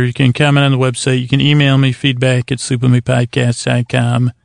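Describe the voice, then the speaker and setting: Guy w gravelly fry voice, flattish pitch, rambly sleep podcast host, trips over and repeats his words, puts himself down. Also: no echo, studio quality. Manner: meandering, halting, stammering and repeating himself; self-deprecating. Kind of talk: rambling bedtime podcast monologue, casual chat.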